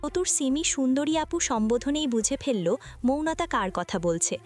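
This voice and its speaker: Higher-pitched woman narrating romance. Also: sing-song, moderate pace, darkish tone, soft background music. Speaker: story narrator. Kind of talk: scripted narration of a romantic story.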